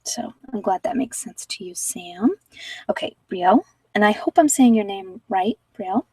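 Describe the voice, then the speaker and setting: Woman, tarot reader, informal and rambling, chatty livestream delivery, slightly low-pitched, dry room, a bit away from the mic. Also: expressive, moderate pace, warm tone, slightly rough, no echo, far from the mic, low-quality recording. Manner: informal, rambling, thinking aloud. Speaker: tarot reader and channel host. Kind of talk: casual live tarot readings for viewers.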